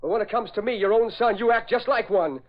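An actor playing a defiant, argumentative young playboy son in 1940s radio drama style. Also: male voice, high voice, fast talker, close to an everyday voice. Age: young adult. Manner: insistent, argumentative. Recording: dull vintage radio recording.

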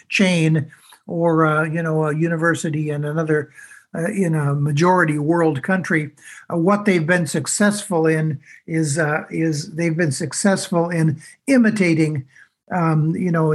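A man talks in a panel discussion, halting and searching for words with frequent fillers and restarts. His voice sits fairly high and sounds breathy and husky. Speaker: panel guest.

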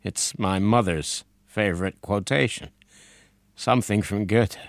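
A quiet scientist from a storybook, played by a male narrator speaks in a very low, subdued voice. He sounds wry, wistful and resigned, in a dry close recording. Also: unhurried, no echo.

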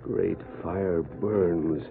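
Grave, halting old-radio chief. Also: male voice, sits low, vocal fry, soft background music, heavily acted. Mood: awed. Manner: halting broken English, grave pronouncements. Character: solemn Native chief in an old western radio serial. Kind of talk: old-time radio western acting. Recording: tinny, band-limited old radio recording.

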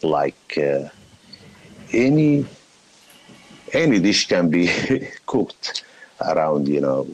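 Slow, husky deep-voiced man. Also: big pitch swings, a little mellow, no room sound, rough recording. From Palestine. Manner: slow, hesitant, many fillers, laughs often. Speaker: guest, shop owner. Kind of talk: podcast interview.

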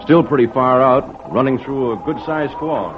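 An actor playing a plain-spoken, kindly fishing skipper who also narrates, in old-time radio drama style. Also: male voice, medium pitch, normal speed, close to an everyday voice. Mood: rueful. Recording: muffled old radio recording with music.

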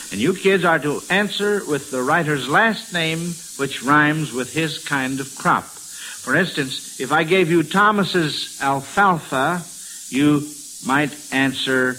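A high-pitched American man with a bright, projecting voice hosts a 1940s radio quiz. He talks in folksy schoolmaster patter. The old broadcast recording has a light hiss. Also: poor audio. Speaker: radio quiz show host.

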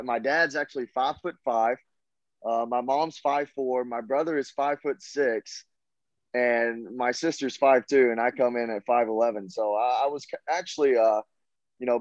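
A young man in his twenties with a Southern US accent, answering interview questions in an easygoing, halting way with many fillers. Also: medium pitch, no echo, lo-fi sound. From Tennessee, USA. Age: 20s. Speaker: college baseball player guest.

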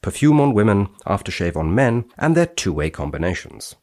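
A man speaking as a podcast guest, wry and expressive, with a dryly playful touch. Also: lowish voice, moderate pace. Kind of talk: dramatic reading and wry conversation.

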